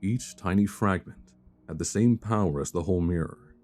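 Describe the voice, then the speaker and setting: A man softly reading a fairy tale as sleep-aid ASMR, measured and soothing, close to a binaural microphone. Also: low voice. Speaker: storyteller.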